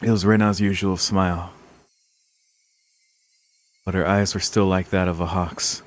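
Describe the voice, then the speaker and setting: A man's voice: sits low, little pitch movement, a little slow. A man streaming a visual novel, reading the narration aloud with dramatic, casual, sweary reactions. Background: game music.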